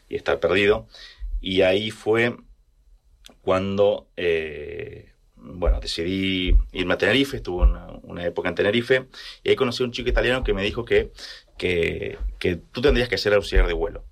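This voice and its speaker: A man from rural Argentina with an Argentine accent, telling personal stories casually and haltingly as he searches for words. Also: sits low, gravelly. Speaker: interview guest.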